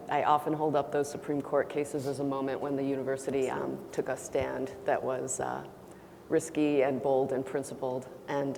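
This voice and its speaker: A woman in her sixties on a panel, speaking in a measured, principled way. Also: extremely low pitch, creaky, a little mellow. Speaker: panelist, faculty member. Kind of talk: panel discussion. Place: large auditorium. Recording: long hall reverb, faint noise.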